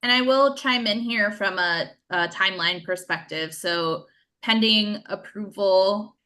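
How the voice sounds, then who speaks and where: A woman's voice: mid-range voice, a little slow, sharp tone. A woman on city staff presenting a project update at a public meeting, talking conversationally with frequent um fillers.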